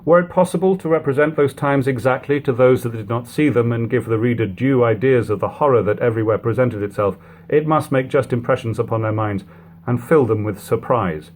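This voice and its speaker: A man reading an old literary book aloud in a measured, phrase-by-phrase narration, on a lo-fi home recording. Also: medium pitch, a little mellow. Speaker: audiobook reader. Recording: lo-fi home recording, background hum, noise.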